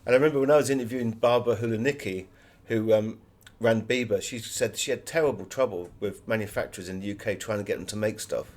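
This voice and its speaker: Man, low voice, author in interview, conversational, anecdotal. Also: fast, few pauses, a little mellow.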